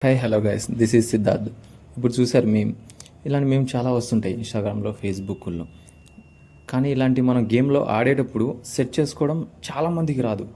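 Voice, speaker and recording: A man's voice: mid-range voice, moderate pace, mellow. A young man giving a casual step-by-step gaming tutorial as host.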